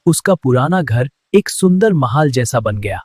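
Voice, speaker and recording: A man's voice: mid-range voice, expressive, unhurried, a little mellow, slightly rough, soft background music. A man giving scripted narration for a cartoon moral story.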